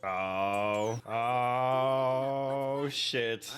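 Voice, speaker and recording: A man's voice: medium pitch, extremely slow. Profane forty-year-old man reacting. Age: 40s. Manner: energetic, profane, laughs often. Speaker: reaction video host. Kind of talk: casual reaction commentary.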